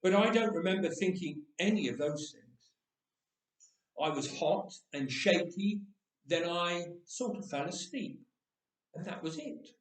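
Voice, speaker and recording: A man gives voice to a candid teenage boy in a first-person storybook tale. The telling is matter-of-fact and confiding, on the higher side in pitch. It sounds roomy and set back from the microphone. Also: animated, unhurried. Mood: matter-of-fact, reflective. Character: candid thirteen-year-old boy recalling his own death. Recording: echoey room, distant microphone.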